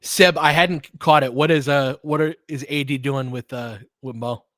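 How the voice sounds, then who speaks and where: A man hosts live basketball play-by-play in a casual, analytical way. He talks like a fan chatting with listeners, with mild slang, at a middling pitch. The sound is close and dry, with very little room. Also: steady tone, normal speed, fairly bright tone, no room sound. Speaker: play-by-play commentator and host.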